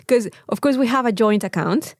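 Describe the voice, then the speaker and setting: A woman with a Mexican accent telling an anecdote in a casual, animated podcast chat, swearing freely. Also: a little high, a little slow, no room sound, clean studio sound, faint music behind. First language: Spanish. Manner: animated storytelling with frequent swearing. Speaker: podcast co-host.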